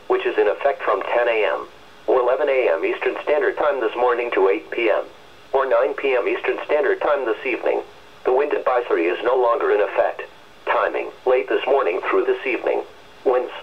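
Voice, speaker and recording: Synthetic man, husky, mid-pitched, impersonal alert readout, muffled radio. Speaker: automated weather radio announcer. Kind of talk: scripted weather alert readout. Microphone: radio speaker re-recorded by a camera in a room. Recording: muffled weather radio broadcast played through speaker.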